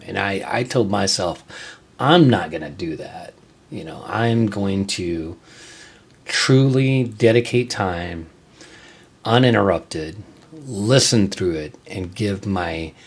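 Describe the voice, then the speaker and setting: Low-voiced man giving a casual podcast update. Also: breathy edge. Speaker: music show host and reviewer.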